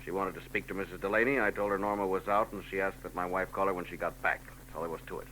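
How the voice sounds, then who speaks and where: Brusque grim husband, sharp bright tone, curt, faint hiss of old radio. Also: male voice, low-pitched, steady tone, fast talker, low-quality recording. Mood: tense. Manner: curt and tense. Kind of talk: 1940s radio acting.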